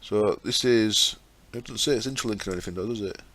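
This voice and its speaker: A man hosting a gaming channel, explaining his setup step by step in a chatty way with fillers, his throat sore and croaky. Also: lowish voice, fast talker, a bit bright. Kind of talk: casual game commentary.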